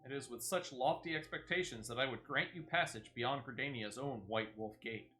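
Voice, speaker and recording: A man's voice: medium pitch, moderate pace, bright, forceful tone, natural voice. A man voicing a stately, approving dignitary in a fantasy game, speaking formally and loftily. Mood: approving, solemn. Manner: formal, lofty. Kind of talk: video game quest dialogue read aloud.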